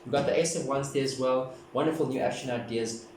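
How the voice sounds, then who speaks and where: A man from Africa, giving a casual, gentle, meandering tarot reading and often repeating himself. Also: mid-range voice, fast talker. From South Africa. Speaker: tarot card reader. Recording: very echoey room, distant mic, background noise.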